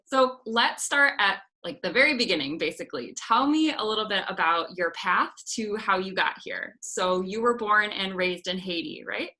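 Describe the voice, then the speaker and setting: A young woman hosts an interview over a video call from a small office. She reads a clear intro and asks friendly questions in a bright, forceful voice at a mid pitch. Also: expressive, normal speed, hardly any pauses, poor audio. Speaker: host, genomic educator. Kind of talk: interview hosting. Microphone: laptop webcam mic on video call.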